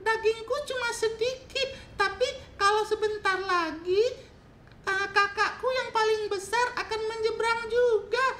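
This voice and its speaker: Storybook goat: small, timid, pleading, extremely high squeaky falsetto. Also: male voice, fairly even intonation, a little slow, sharp tone, reverberant, a little hiss or hum, exaggerated voice. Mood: frightened, pleading.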